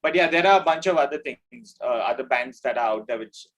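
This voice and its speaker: A man's voice: mid-range voice, lively intonation, brisk, fairly bright tone, rough recording. A man with an Indian accent speaking as a musician guest in a casual interview, rambling and informal with slangy wording. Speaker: drummer in a death metal band, interview guest.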